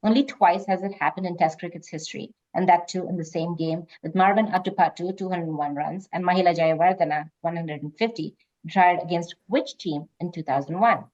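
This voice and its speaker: A woman's voice: on the low side, a little fast, few pauses, darkish tone. A woman hosting a quiz, reading questions aloud and moderating informally. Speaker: quiz host. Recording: dull lo-fi online call audio.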